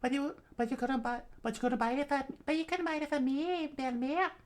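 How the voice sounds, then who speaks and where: A woman's voice: sits high, steady tone, fairly quick, pitched above the performer's own voice, close to an everyday voice. A woman voicing a cheeky, defiant little girl with a whiny, pleading manner in an acted story. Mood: apologetic pleading.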